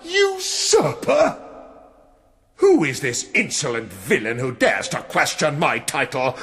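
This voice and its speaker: An actor playing an imperious, volatile prince with a commanding, theatrical delivery in a gothic radio drama. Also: male voice, a little high, huge pitch swings, slow, fairly bright tone, slightly rough, full character voice. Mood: imperious anger.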